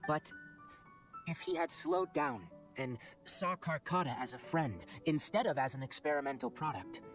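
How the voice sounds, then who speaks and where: A man as a higher-voiced young scholar. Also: soft background music, natural voice. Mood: thoughtful, explanatory. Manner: measured, analytical. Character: thoughtful young male forest scholar, analytical and composed. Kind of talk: video game dialogue, explanatory.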